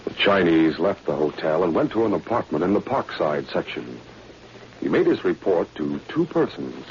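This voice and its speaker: A man narrating a scripted drama in dramatic 1940s radio style. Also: deep voice. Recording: muffled, noisy old-time radio recording.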